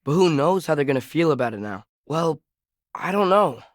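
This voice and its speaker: A man's voice: on the high side, expressive, moderate pace, no echo, studio quality, lightly acted. A young man playing an earnest, headstrong teenage boy adventurer in a video game, with a light youthful voice and a casual, hesitant way of talking. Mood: focused, hopeful. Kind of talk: video game character acting.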